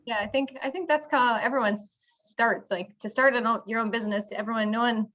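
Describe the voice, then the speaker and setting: A woman business owner talks about her background in a chatty way, filling pauses with "um". The call audio is a bit dull and muffled. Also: a little high, steady tone, a bit bright. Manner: chatty, many fillers. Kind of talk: panel self-introduction.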